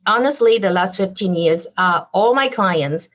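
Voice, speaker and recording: A woman's voice: mid-range voice, lively intonation, a little slow, fairly bright tone. A woman guest on a podcast interview, talking animatedly about her design business with frequent fillers and light laughs. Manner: laughs lightly as she talks.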